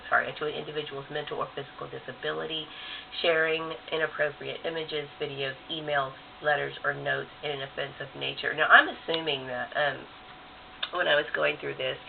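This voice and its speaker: A man with a cold talks through a rambling vlog, his nasal voice very gravelly and worn. He fills his pauses with "um" and drifts from point to point. The audio is thin and phone-like, with a steady hiss underneath. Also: medium pitch. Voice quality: scratchy voice. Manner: rambling, many "um"s. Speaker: vlogger. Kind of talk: casual talking-head vlog.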